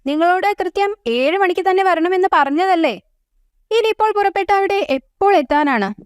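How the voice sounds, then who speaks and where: Woman voicing a high-pitched, scolding storybook bear. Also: animated, normal speed, dry recording, studio quality. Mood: exasperated, scolding. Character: stern older-brother male bear, fed up but hospitable.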